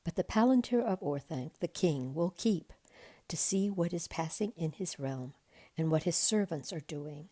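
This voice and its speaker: A woman voicing a noble, kindly and commanding king in a storybook, formal, firm and measured. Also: deep voice, expressive, warm tone, dry recording.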